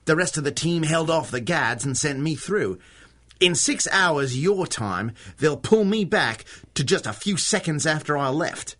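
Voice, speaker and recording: An actor playing a brash, frantic, wisecracking wartime hero in a 1930s-style radio adventure. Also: male voice, fairly high pitch, expressive, measured pace, a bit bright. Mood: grim, urgent. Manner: wisecracking, slangy, sarcastic.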